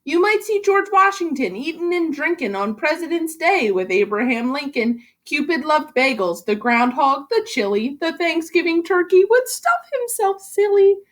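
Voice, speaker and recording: A woman with a high voice reads a storybook aloud to children. Her delivery is expressive and theatrical, leaning into the rhymes like a practiced school reading teacher. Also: moderate pace, hardly any pauses. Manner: animated, theatrical emphasis on rhymes.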